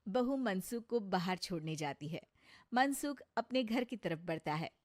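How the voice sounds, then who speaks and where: A woman's voice: medium pitch, animated, a little slow, no echo, studio quality. A woman reading scripted narration for a comic moral story as its storyteller.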